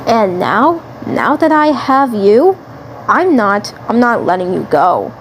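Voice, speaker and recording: Woman playing an obsessive, slow-talking male alien commander. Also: medium pitch, very animated, a little hiss or hum. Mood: possessive, determined. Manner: gloating, repeats phrases.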